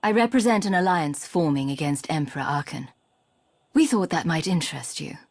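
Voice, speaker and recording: A woman's voice: low voice, expressive, moderate pace, no room sound. An actress playing a cool, composed female secret agent with a dry, controlled manner, in a video game.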